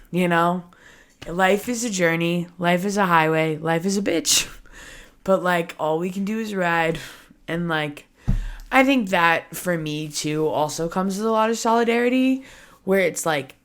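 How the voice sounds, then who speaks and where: Young female podcast host, early 20s, slightly low voice, chatty, giggly, full of fillers. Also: fairly bright tone. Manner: chatty, candid, laughs often, many "like" fillers. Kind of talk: casual solo podcast chat.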